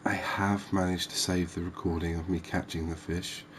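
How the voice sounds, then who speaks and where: A man giving soft-spoken ASMR game commentary as the host, in a gentle, unhurried, hesitant way. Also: deep voice, flat delivery, a little hiss or hum. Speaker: gaming video host.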